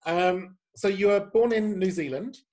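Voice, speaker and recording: A man's voice: sits high, unhurried, mellow. A man hosting a casual interview over a video call from a home room, camp, playful and effusive. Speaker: host.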